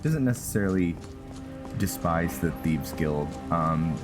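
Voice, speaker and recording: A man giving casual commentary on his own roleplay playthrough of a video game, in a deep voice. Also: expressive, measured pace. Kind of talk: casual gameplay commentary with some in-character lines. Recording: game music behind the voice. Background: video game music.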